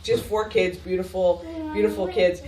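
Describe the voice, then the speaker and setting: A woman in her forties tells a story at a family party, talking fast between laughs. She is heard from across a roomy space on a home video. Other relatives chatter around her. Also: on the low side, steady tone, distant mic. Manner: laughing, quick anecdotes. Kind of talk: casual storytelling.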